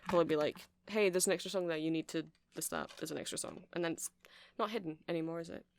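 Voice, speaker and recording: A woman's voice: lowish voice, fairly even intonation, fast talker, noisy background. A woman giving a rambling, chatty ASMR demonstration, explaining things step by step. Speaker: ASMR creator.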